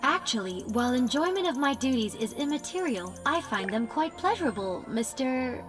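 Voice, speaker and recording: A woman reads game dialogue in a measured, clear voice, fairly high in pitch. She sounds far from the mic, with a long hall-like echo. Game music plays loudly around her. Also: sing-song.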